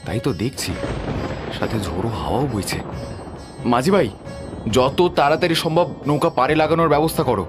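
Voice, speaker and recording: A man's voice: mid-range voice, animated, fairly quick, long pauses, over music, lightly acted. A man playing a kindly, trusting landlord and devoted husband in a melodramatic cartoon story. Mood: urgent.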